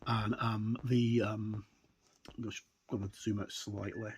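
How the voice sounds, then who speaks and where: A man's voice: on the low side, little pitch movement, takes it slowly. Man giving a casual review, noisy recording. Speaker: tabletop game reviewer. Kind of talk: casual flip-through product review, unscripted. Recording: clear background noise with clicks.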